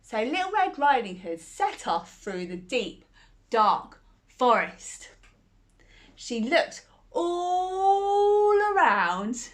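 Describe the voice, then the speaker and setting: Bedtime storyteller, woman; playful and unhurried, with a lively, swooping voice. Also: fairly high pitch. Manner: playful, animated, laughs often. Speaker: storyteller host. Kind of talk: bedtime story reading for children.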